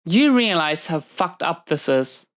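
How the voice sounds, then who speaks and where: Low-voiced, unhurried dominant female inmate, acted by a woman. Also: big pitch swings. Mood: hurt, stern. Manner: commanding, clipped orders with hesitant confessions. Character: dominant, guarded female prison inmate, stern but secretly tender. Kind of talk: intimate roleplay audio drama.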